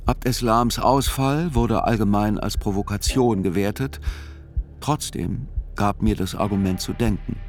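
A man giving scripted first-person literary narration in a radio play, measured and reflective. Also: fairly low pitch, faint music behind.

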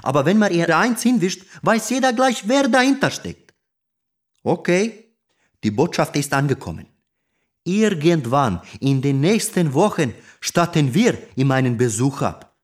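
An actor playing an angry, streetwise young gang member in a radio drama, crude, slangy and contemptuous. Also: male voice, on the high side, sing-song, unhurried. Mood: contemptuous, threatening.

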